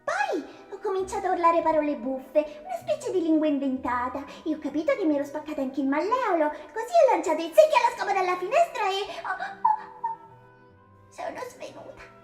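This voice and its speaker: A whiny, hysterical ghost girl from a parody dub, high-pitched and wildly melodramatic, swooping around as she complains crudely. The sound is roomy and echoing. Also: very animated, brisk. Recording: roomy echo, faint music behind.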